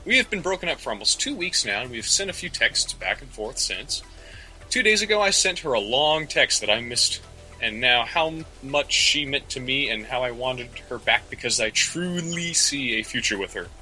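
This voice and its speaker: A man co-hosting a casual comedic advice podcast, talking in halting, slangy phrases and often repeating his words. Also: medium pitch, expressive, pushed and bright, faint music behind, low-quality recording. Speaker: podcast co-host.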